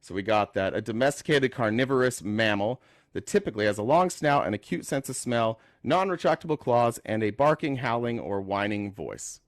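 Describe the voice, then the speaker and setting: A man's voice: medium pitch, expressive, normal speed, dry recording, studio quality. Blunt, teasing male host. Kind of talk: casual live-stream talk.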